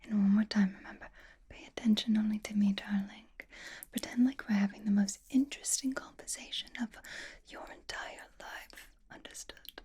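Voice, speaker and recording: A woman voicing an elegant, flirtatious vampire in an ASMR roleplay, coaxing intimately with fond exasperation in a breathy, halting whisper. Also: medium pitch, steady tone, dark tone. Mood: coaxing, instructive. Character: elegant female vampire, flirtatious, patient, fondly exasperated gala companion.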